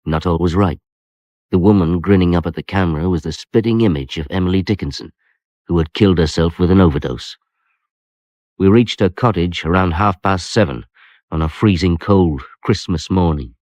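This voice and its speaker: Deep, dry detective inspector, played by a man. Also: moderate pace, a little mellow, dry recording, close to an everyday voice. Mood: grave narration. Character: weary, dry male detective inspector narrating his case. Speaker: actor and narrator. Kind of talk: radio crime drama acting and narration.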